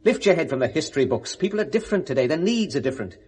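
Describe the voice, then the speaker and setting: Animated, evasive middle-aged Englishman. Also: a little high, racing, slight background noise. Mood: self-justifying. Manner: indignant, evasive, smoothly reasoning. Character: hypocritical, respectable middle-aged English photographer, self-justifying. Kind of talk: 1970s British radio drama acting.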